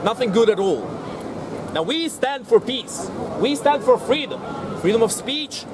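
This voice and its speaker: A man's voice: very high-pitched, expressive, unhurried. A man speaking as a rally host, declamatory and emphatic, repeating his phrases for effect. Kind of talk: impassioned public speech at a street rally. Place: outdoors in an urban public space. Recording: noisy outdoor street with crowd and traffic.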